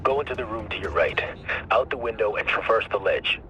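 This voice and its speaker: A man's voice: fairly low pitch, animated, fairly quick, sharp tone, low-quality recording. An actor playing a dutiful younger male field operative in a video game, giving clipped, deferential military reports. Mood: focused. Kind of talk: cinematic video game acting. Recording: action music and gunfire behind.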